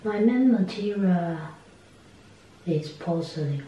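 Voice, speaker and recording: A woman with a Taiwanese accent gives interview answers in simple, halting phrases. She speaks slowly in a slightly low register. The room is strongly reverberant. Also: animated, warm tone. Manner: halting, simple phrasing in non-native English. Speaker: interviewee, ceramic artist. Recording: echoey room, distant mic, faint noise.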